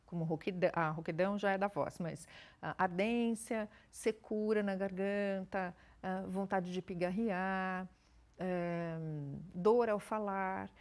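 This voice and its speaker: Measured woman explaining voice care. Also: mid-range voice, darkish tone. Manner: measured, explains with everyday examples. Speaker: speech therapist guest. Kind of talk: explanatory interview answers.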